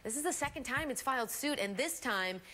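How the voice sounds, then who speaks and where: A woman's voice: high voice, animated, brisk, sharp tone. An American woman anchoring morning TV news, reading stories and chatting casually with a co-anchor.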